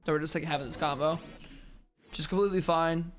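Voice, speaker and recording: Low-voiced woman streamer, slangy. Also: fairly even intonation, a little fast. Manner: playful, slangy, chatty asides. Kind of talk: casual let's-play commentary.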